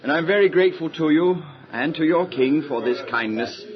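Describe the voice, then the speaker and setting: An actor playing a humble, devout Hebrew patriarch and husband, earnest and solemn, in an audio Bible drama. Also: male voice, fairly high pitch, a little fast, muffled recording, a crowd in the background, natural voice. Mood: grateful, happy.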